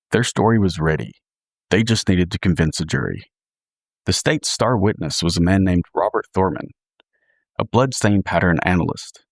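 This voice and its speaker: A man reading scripted true crime narration in a measured, dramatic storytelling manner, as a podcast host. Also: low-pitched, no room sound, studio quality.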